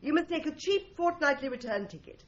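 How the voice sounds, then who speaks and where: An actress playing a sardonic, quick-witted, bossy office secretary in a radio drama, dry and emphatic. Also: female voice, high-pitched, expressive, highs cut off. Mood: mock-authoritative. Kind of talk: 1980s radio drama acting.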